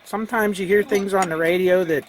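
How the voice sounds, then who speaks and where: A man with a hoarse, fading voice, casually explaining an old radio repair at an electronics workbench, clearing his throat now and then. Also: high-pitched, a little fast, low-quality recording. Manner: relaxed, talks while tinkering, frequent throat clearing. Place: cluttered electronics workbench indoors.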